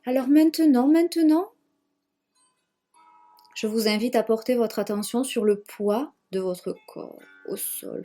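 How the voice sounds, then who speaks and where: A woman leading a guided yoga nidra relaxation in a gentle, measured manner, leaving long pauses and repeating phrases. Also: on the high side. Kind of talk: guided meditation, slow spoken relaxation.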